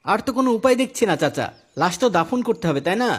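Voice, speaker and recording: A man's voice: high voice, sing-song, fast talker, slightly rough, heavily acted. A man playing an anxious, pious village man in a moral cartoon story, given to worried exclamations.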